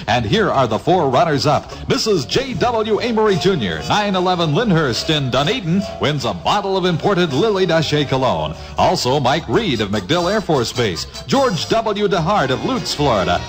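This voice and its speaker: 60s radio promo announcer guy, lively up and down delivery, music behind him. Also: a little high.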